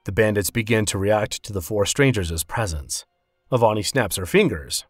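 A man's voice: on the low side, animated, normal speed, no room sound, clean studio sound, faint music behind. A man narrating a scripted fantasy story.

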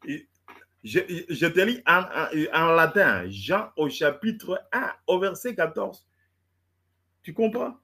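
Husky guy, french not his first language, stammers and repeats words while lecturing, higher voice. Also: animated, slow pace, pushed and bright. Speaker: debater. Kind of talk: heated live debate.

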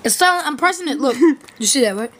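A woman's voice: high-pitched, lively intonation, fast talker, a bit bright. A young woman chatting casually in a bossy, teasing, slangy way while making prank calls. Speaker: prank caller. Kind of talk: casual chat. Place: room at home. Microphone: handheld camera or phone. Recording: noisy handheld amateur recording with clicks.

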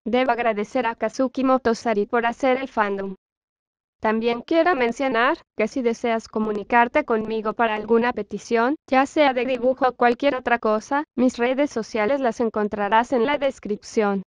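A woman's voice: a little high, moderate pace, clear, resonant tone, no echo. A woman channel creator giving a scripted video outro.

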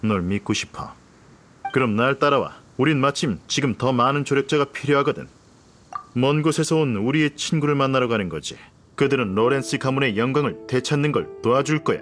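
Male actor as haughty aristocrat, pompous, condescending, formal phrasing, mid-range voice, video game dialogue over clear music. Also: mid-range voice, a little fast, a bit bright, lightly acted. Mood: smug, approving. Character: haughty, pompous nobleman of a fallen aristocratic family.

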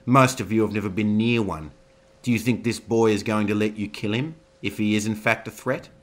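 A man's voice: on the low side, fairly even intonation, brisk, voice pushed up, natural voice. A man voicing a ruthless female military captain who taunts everyone in the hall. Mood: taunting. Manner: taunting, cold.

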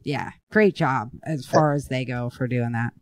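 A woman's voice: a bass voice, unhurried, no room sound. An American woman hosting a casual talk show, speaking in an earnest, opinionated and emphatic way. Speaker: podcast host and author.

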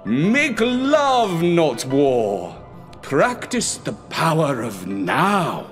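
A man voicing a sly, flattering fox with theatrical, smooth talk in a children's storybook. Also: a little high, extremely slow, soft background music, pitched above the performer's own voice, exaggerated voice. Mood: unctuous, preachy.

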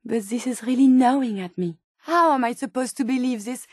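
A woman's voice: fairly high pitch, brisk, a little mellow, no room sound, studio quality, natural voice. A woman with a French accent voicing an earnest, polite female robot scientist in a video game, with formal, precise wording. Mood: disappointed, reproachful. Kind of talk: video game character dialogue.

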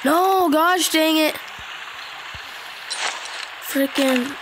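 A woman's voice: sits high, very slow, background noise. A woman with a stuffed-up nose hosting casual let's play commentary, hesitant and chatty with lots of ums and self-deprecating groans. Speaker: gaming channel host. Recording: loud game music behind.

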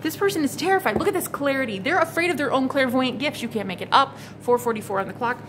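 A woman's voice: high-pitched, expressive, quick pace, fairly bright tone. A woman in her thirties doing a casual tarot reading to camera, blunt and exasperated, swearing freely and repeating herself. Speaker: psychic tarot reader. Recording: noticeable background noise.

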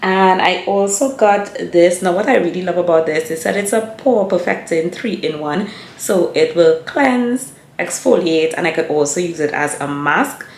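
A woman's voice: lowish voice, normal speed. A woman in her thirties chatting casually to the camera as a lifestyle vlogger, talking straight to her viewers. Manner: chatty, addresses viewers as y'all. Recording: echoey room with faint hiss.

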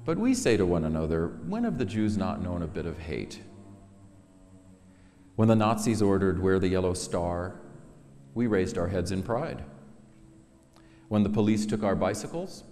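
A middle-aged man gives a solemn, dramatic poetry reading, measured and expressive, with weighty pauses. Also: sits low, soft background music. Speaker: poet reading own work. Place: large synagogue sanctuary, at a lectern. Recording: echoey hall reverb.

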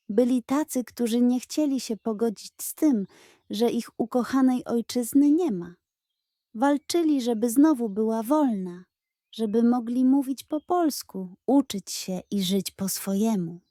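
A woman's voice: a little high, mellow, dry recording, studio quality, natural voice. A woman voicing a kindly, patient old grandfather who explains things earnestly in a children's story.

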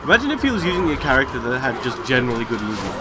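A man's voice: mid-range voice, big pitch swings, rapid-fire, background noise. An Australian man doing laid-back, joking color commentary at a fighting game tournament. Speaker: esports co-commentator. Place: tournament venue. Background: loud crowd and game music.

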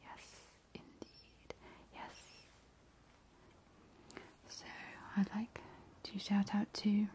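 Whispering woman, very slow ASMR vampire. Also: medium pitch, completely flat delivery. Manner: whispering, slow and soothing, with repeated words. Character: playful, seductive female vampire. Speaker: ASMR host.

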